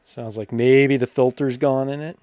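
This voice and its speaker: A man giving casual, hands-on repair narration as a repairer, thinking aloud in an informal, halting way with muttered asides. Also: medium pitch, fairly even intonation, warm tone, no room sound. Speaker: vintage radio repairer presenting his own video.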